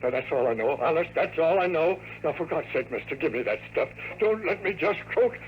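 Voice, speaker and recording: A man's voice: fairly high pitch, lively intonation, fairly quick, lightly acted. A man acting a dim, easily scared American janitor in an old radio drama, evasive, slangy and stammering. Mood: panicked pleading. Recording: noisy old radio recording, faint hum.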